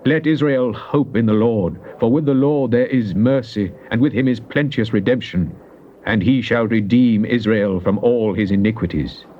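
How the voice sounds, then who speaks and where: A man giving a dramatized recitation of psalms, solemn and declamatory, with drawn-out emphasis. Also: mid-range voice. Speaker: scripture reader. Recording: muffled, noisy old cassette tape.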